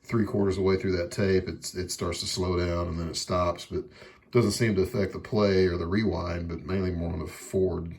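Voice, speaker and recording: Low-pitched monotone man explaining a repair. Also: fairly quick. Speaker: repair video host. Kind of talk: casual hands-on repair tutorial.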